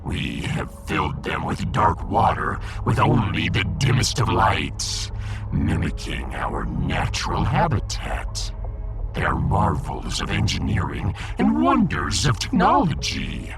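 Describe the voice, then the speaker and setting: Slow, swooping, melodramatic fish emperor. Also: male voice, a bass voice, huge pitch swings, over loud music, exaggerated voice. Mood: imperious, menacing. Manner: theatrical, long-winded, mournful, imperious. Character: pompous, melodramatic, gloomy little male alien fish emperor. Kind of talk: sci-fi audiodrama character acting.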